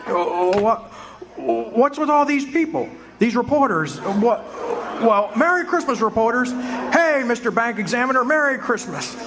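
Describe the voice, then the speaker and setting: A man's voice: extremely high pitch, a little slow, a crowd in the background. A man acting in a live community radio play, voicing an overjoyed, breathless husband and father. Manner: excited, breathless joy. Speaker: actor playing George Bailey.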